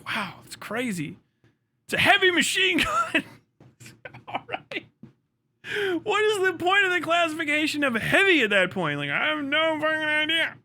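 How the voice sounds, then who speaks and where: A man livestreamer giving casual commentary, ranting in a sarcastic, animated way with lots of swearing. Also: extremely high pitch, measured pace.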